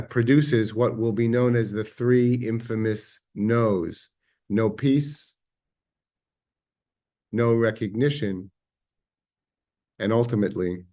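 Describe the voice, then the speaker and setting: Man, Israeli accent, lowish voice, measured history lecture, reflective pauses. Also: a little mellow. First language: Hebrew.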